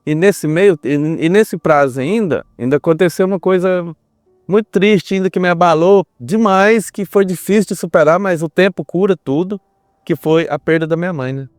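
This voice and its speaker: A man's voice: sits high, normal speed, darkish tone, dry recording, studio quality, soft background music. A Brazilian man speaking as a podcast guest, telling his personal story in an earnest, reflective way and repeating himself for emphasis. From Brazil, small town.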